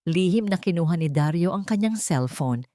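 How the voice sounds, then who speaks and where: A woman narrator with a Filipino accent reads a dramatic audiobook story. Her voice sits a little low, and the recording is dry, with very little room sound. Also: expressive, moderate pace, dark tone, no room sound.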